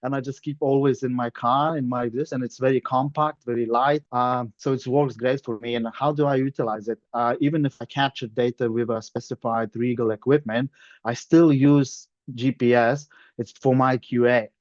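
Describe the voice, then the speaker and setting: A man's voice: medium pitch, fairly even intonation, normal speed, a little mellow, dry recording. A man from Lithuania with a Lithuanian accent, telling his story in a chatty, plain-spoken webinar talk over a video call. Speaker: guest presenter, surveyor. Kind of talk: casual webinar presentation. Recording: dull lo-fi call audio.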